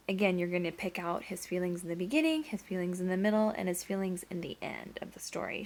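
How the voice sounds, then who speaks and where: A woman teacher reading a picture book aloud, pausing to explain and to ask the children questions. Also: lowish voice, vocal fry.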